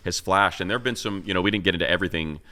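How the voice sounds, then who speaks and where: A man's voice: low voice, very fast, pushed and bright. A man hosting a sports show, giving casual, emphatic football analysis in conversation.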